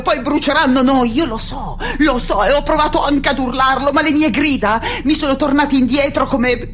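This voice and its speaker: An actress playing an anguished, defiant, aging woman scholar in a radio play, speaking in halting, impassioned repetitions. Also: high-pitched, natural voice. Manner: halting, repeating words, impassioned. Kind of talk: dramatic radio theatre monologue. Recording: music under the voice. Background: music.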